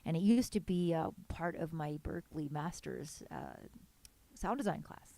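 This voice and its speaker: A young woman with a low voice, casually giving detailed, enthusiastic music mixing feedback on a live stream. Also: animated, measured pace, gravelly, dark tone. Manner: enthusiastic, detailed mixing tips with many fillers. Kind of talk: casual technical feedback chat.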